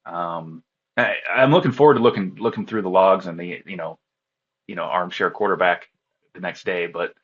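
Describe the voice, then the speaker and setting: A man with a low, husky voice talks casually as an interview guest, recounting his experiences in a conversational way. The sound is slightly muffled and low in fidelity. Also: normal speed, a bit bright. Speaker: interview guest, ham radio contest operator.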